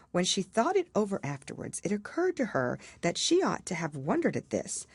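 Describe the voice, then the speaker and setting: A woman narrating a children's storybook as an audiobook reader, in an expressive style. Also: low voice, slightly rough, no room sound.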